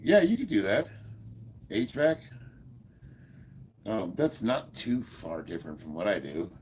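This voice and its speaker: Gravelly deep-voiced man in his fifties. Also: very animated, unhurried, a little mellow. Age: 50s. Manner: laid-back, chuckles often, many fillers. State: stuffed up. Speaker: livestream host. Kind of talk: casual livestream chat, reading viewer comments.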